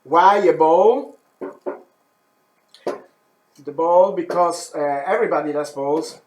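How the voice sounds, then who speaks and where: Very slow, hesitant Swiss-German man. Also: on the high side, a little mellow, low-quality recording. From German-speaking Switzerland. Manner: hesitant, many fillers and self-corrections. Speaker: professional wood turner. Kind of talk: live-stream demo talk. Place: wood turning workshop.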